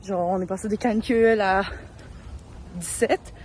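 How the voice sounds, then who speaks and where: A young woman with a Quebec French accent, chatting excitedly to her phone camera while walking city streets during a game of hide-and-seek; she has a slight cold and a sore throat. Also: medium pitch, moderate pace, a little hiss or hum. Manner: chatty, excitable, breathless when hurrying. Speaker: hide-and-seek player vlogging. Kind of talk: casual self-filmed vlog narration. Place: outdoors on busy city streets.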